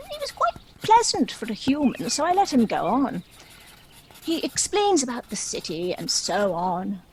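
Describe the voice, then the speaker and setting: A woman's voice: high-pitched, a little hiss or hum, exaggerated voice, rough recording. A woman playing a chatty, self-pitying dragon who tells its own story in a wry, theatrical comic stage monologue. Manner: wry, theatrical, measured storytelling with arch asides.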